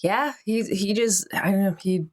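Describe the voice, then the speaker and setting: A woman's voice: medium pitch, normal speed, no room sound, studio quality. A woman playing a tabletop game, chatting informally with playful exasperation. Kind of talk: casual banter.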